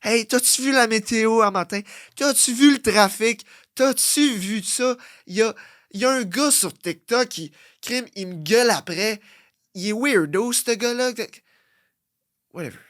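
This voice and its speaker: A young man with a Québécois French accent, hosting a blunt, profane motivational podcast that swings from loud outbursts to low muttering. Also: a little slow, no room sound, clean studio sound. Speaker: fitness coach and podcast host.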